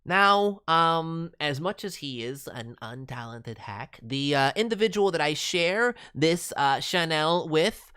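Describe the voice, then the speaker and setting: Cheeky little cartoon streamer creature, super animated, dry close sound, kinda boastful. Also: mid-range voice, slow, few pauses, clean tone, dry recording. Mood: cheekily boastful. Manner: playful mispronunciations, cheeky, boastful. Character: Rocky, excitable cartoonish non-human VTuber mascot critic. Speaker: host reviewing voice demo reels. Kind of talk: casual live stream commentary.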